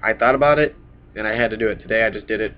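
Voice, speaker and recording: A man's voice: lowish voice, rapid-fire, a little hiss or hum. Young man, getting over a cold, chatty and rambling, muffled lo-fi webcam sound, small indoor room. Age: young adult. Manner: chatty, rambling, punctuates with "bam". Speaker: card game vlogger. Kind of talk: casual deck walkthrough. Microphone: webcam at desk. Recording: dull lo-fi webcam audio.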